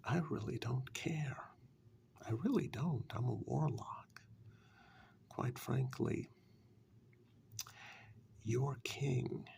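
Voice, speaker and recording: A man playing a condescending, reclusive warlock in a soft-spoken dark fairytale ASMR role-play, slow, deliberate and taunting. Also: medium pitch, creaky. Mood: dismissive, aloof.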